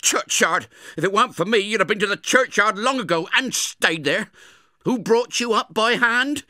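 A man voicing a snappish, bad-tempered blacksmith's wife who scolds loudly in a high voice. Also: expressive, normal speed, dry recording, clean studio sound, exaggerated voice. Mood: scolding.

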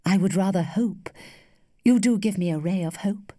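A woman voicing an earnest young gentleman suitor in a storybook, formal and reproachful with boyish bitterness. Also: medium pitch, moderate pace, dry recording, studio quality, close to an everyday voice. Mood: hopeful, reluctant.

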